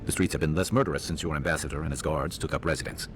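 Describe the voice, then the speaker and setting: A very deep-voiced wry companion. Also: male voice, steady tone, racing. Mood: wry, concerned. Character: gruff, wry, loyal male adventuring companion. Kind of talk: video game voice acting. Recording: loud rumbling noise behind.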